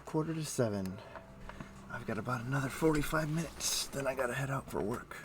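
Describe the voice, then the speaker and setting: A man chatting casually on a game live stream, thinking aloud in a halting, muttering way as he plays. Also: mid-range voice, lively intonation, noisy background. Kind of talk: casual live-stream chat while playing an online game. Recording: faint music behind the voice. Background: faint music.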